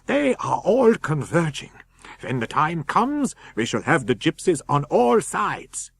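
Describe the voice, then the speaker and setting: A man plays an urgent vampire-hunting professor in a gothic radio story. He exclaims and commands with excitement, his slightly husky voice lifted in pitch. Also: slow pace, dry recording, exaggerated voice. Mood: gleeful.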